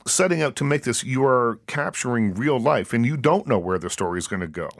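A man's voice: medium pitch, animated, brisk, no room sound. A man hosting an interview, chatty and easygoing, often restarting his sentences and laughing easily. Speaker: interview host. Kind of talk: casual interview.

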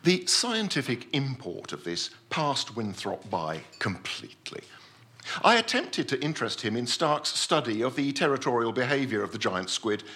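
A man playing a pompous, self-assured gentleman scientist telling his adventure in a theatrical story reading. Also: medium pitch, big pitch swings, normal speed. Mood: self-assured, enthusiastic. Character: pompous, obsessive Victorian-style gentleman scientist recounting his deep-sea adventure.

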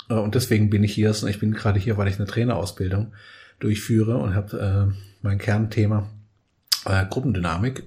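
A man with a mellow, relaxed tone tells stories with animation on a podcast, recorded in an echoey room. His first language is Portuguese, and he keeps tagging his sentences with a quick "ne?". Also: on the low side. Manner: animated storyteller, frequent tag "ne?". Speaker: coaching expert guest. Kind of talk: conversational podcast storytelling.

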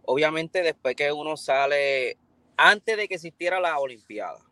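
Man, Puerto Rican accent, frank, informal, slightly high voice, dry close sound. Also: lively intonation, moderate pace, pushed and bright, no echo. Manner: frank, informal street talk. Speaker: breakdancer guest. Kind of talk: casual interview.

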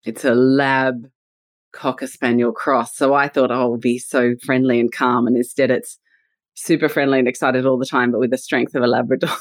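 A woman's voice: rumbling low, little pitch movement, normal speed, no room sound. Woman, Australian accent, forties, podcast guest, laughs easily, thoughtful, dry recording. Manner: warm, laughs readily, thoughtful with "you know" fillers. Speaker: guest, leadership and culture consultant. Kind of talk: conversational podcast interview.

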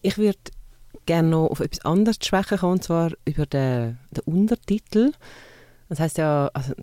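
A man's voice: on the high side, animated, moderate pace, mellow, no room sound. A man with a Swiss German accent, interviewing an author in a casual way and thinking aloud as he circles toward his questions.

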